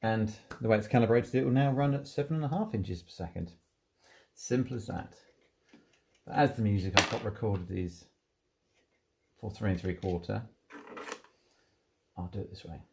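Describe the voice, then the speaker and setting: A man's voice: on the low side, moderate pace, vocal fry, pauses often, a little mellow. A man giving an informal hands-on product walkthrough, explaining things unhurriedly, step by step. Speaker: presenter demonstrating audio equipment.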